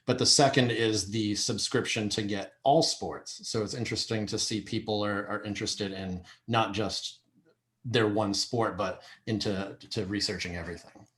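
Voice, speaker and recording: Low-voiced man explaining informally. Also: steady tone, normal speed, fairly bright tone. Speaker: guest website professional. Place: virtual meeting on video call. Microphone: video call.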